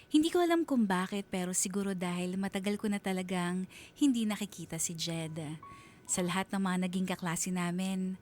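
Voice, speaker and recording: A woman's voice: medium pitch, a little mellow, slight background noise. A woman narrating a scripted radio drama story in a confiding, reflective manner.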